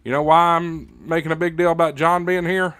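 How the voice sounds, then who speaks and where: A man's voice: fairly high pitch, fairly even intonation, fairly quick, a bit bright, clean tone, no room sound. An American man hosting a livestream, chatting casually in an informal, folksy, joking way as he talks to viewers. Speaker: livestream host. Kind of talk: casual livestream chat reading viewer comments.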